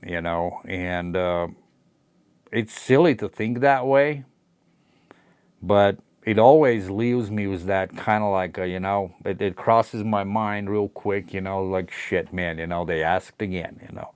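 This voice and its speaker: A man's voice: low voice, measured pace, a little mellow, clear, resonant tone, no echo. A middle-aged man from Latvia with a Latvian accent, talking frankly to his channel's viewers in a rambling way full of fillers. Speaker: channel host. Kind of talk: casual personal talk to camera.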